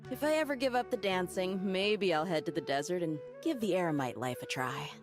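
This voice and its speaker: A woman's voice: lowish voice, lively intonation, fairly quick, over music, lightly acted. A woman voicing a playful, confident theater dancer in a video game, breezy and teasing.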